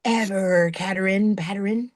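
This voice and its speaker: A woman's voice: mid-range voice, steady tone, moderate pace, no room sound, clean studio sound. A woman with a mid-range voice chats on a livestream as co-host. She mostly drops short, teasing remarks and laughs easily along with the conversation. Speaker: co-host guest. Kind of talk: casual chat on a livestream.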